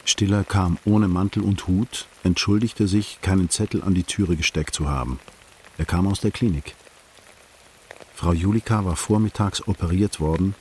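An actor playing the sober narrator of a German literary radio play, recounting events in a measured, restrained, precise way. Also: male voice, low-pitched, darkish tone, a little hiss or hum, lightly acted. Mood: grave, measured. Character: sober male friend recounting a sad night in retrospect.

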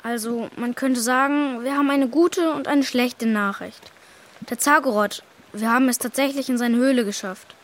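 A woman's voice: fairly high pitch, fairly even intonation, normal speed, close to an everyday voice. A woman playing a brave but weary young boy ghost hunter in a children's radio drama, earnest and plain-spoken.

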